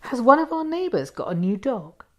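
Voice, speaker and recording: A woman's voice: fairly high pitch, very animated, moderate pace, fairly bright tone, pitched above the performer's own voice. An anxious, insistent married woman from a short story, voiced by a woman reading aloud. She is blind and presses her point in a natural, raised voice. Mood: puzzled.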